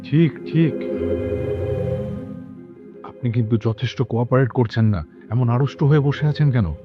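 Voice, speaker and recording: A man's voice: mid-range voice, dull audio, faint music behind, lightly acted. A man playing an impatient, placating grown son in a radio drama, slipping English words into his questions. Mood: impatient, persuasive. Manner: brisk questions, code-switches into English. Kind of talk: Bengali radio drama acting.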